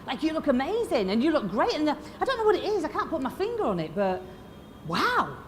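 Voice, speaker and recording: A woman in her fifties with a Yorkshire accent, giving a blunt, lively motivational talk to a live audience. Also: high voice, a little fast, slight background noise. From Lancashire, England. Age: 50s. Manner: lively, blunt and swearing, asks the crowd questions. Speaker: motivational speaker. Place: expo stage before a live audience.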